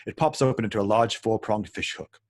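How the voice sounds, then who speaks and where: A man's voice: on the low side, a little fast. A man narrating stage directions in a script table read, matter-of-fact and occasionally stumbling over words.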